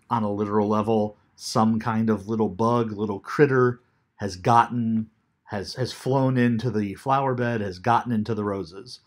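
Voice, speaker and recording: A man in his fifties giving a recorded lecture from an armchair at home, thoughtful and unhurried with frequent ums, into a webcam. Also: on the low side. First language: English. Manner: unhurried, thoughtful, many ums, reads poetry aloud slowly. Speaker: teacher. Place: small room at home, seated in armchair.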